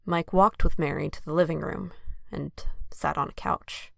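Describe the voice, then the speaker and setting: A woman's voice: deep voice, fairly even intonation, normal speed, vocal fry, a bit bright, dry recording, clean studio sound. A woman reading scripted story narration for an audio drama.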